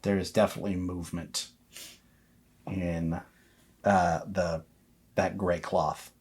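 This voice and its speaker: Deep-voiced man running a horror game. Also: flat delivery, takes it slowly, darkish tone, slightly rough. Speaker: game master. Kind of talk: narrating a tabletop horror game.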